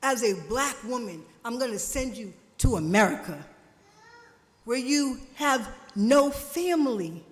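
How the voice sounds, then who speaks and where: A woman preaching a sermon at a church service, slow and emphatic, drawing responses from the congregation, her family from Jamaica. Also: on the high side, expressive. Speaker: guest speaker at a church service. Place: church sanctuary. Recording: church hall reverb.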